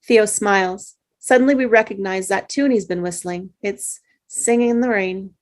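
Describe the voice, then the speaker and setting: A woman's voice: medium pitch, normal speed. Woman reading screenplay directions aloud. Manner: steady reading with small slips and restarts. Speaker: narrator reading stage directions. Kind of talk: table read of a screenplay.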